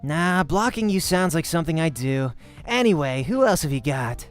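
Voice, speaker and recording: Guy doing a cocky hotheaded young gamer dude, slangy n brash, pitch jumps around a lot, game music. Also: lively intonation, moderate pace, natural voice. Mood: skeptical, grudging. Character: cocky, hot-tempered young male pro gamer.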